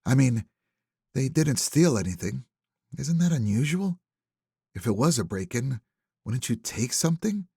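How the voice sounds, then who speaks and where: A man voicing a grieving, guarded suburban widow in an audiobook, soft-spoken and halting. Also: mid-range voice, sing-song, creaky, slightly rough, dry recording, studio quality, heavily acted. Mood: pained, bewildered.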